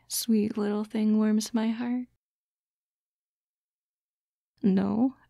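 A woman voicing a doting, teasing fox-girl girlfriend in a soft, breathy, coaxing ASMR roleplay, close to the microphone. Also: medium pitch, no echo. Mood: soothing. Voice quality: soft, breathy, often whispering. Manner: slow, coaxing, cooing. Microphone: close, dry microphone.

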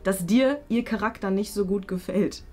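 A woman's voice: mid-range voice, normal speed, warm tone. A young adult woman giving short, playful instructions to performers from an indoor studio. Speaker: off-table prompter giving instructions. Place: indoor home studio with desk.

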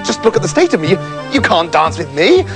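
A man's voice: high voice, very animated, fast talker, over music. A man voicing a spoiled, whiny young princess who shrieks and sulks. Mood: panicked. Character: spoiled, bratty young princess turned beggar woman.

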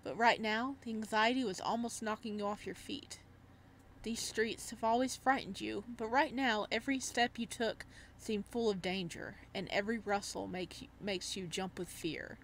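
A woman's voice: medium pitch, moderate pace, slight background noise, poor audio. A woman playing a visual novel, reading its text aloud and reacting expressively as she goes. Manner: expressive, animated reactions between read lines. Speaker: streamer, let's-player.

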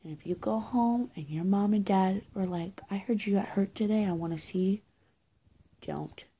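A young adult woman doing a soft-spoken, improvised roleplay as a friendly good witch of high-school age, talking casually and haltingly to the viewer and often dropping to a near-whisper. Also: slightly rough. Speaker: roleplay video creator. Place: small indoor room, plain walls. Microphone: phone or webcam at arm's length.

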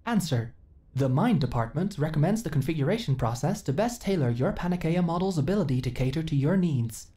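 Woman as a low, mellow, formal female android. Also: fairly quick. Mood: flat, procedural. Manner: stilted, formal, literal, emotionally flat delivery. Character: female companion android, earnest, uncertain, eager to serve. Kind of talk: ASMR roleplay audio drama.

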